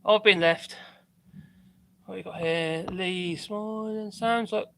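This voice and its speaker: A man's voice: high-pitched, steady tone, takes it slowly, creaky, sharp tone, slight background noise. A man in his fifties with a Norfolk accent, chatting casually and rambling on a live stream, laughing often. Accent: Norfolk English. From Norfolk, England. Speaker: livestream guest. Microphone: camera on tripod.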